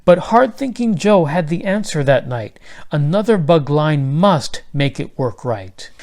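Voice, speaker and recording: A man narrating a children's rhyming storybook aloud in a measured delivery. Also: fairly high pitch, very animated, darkish tone.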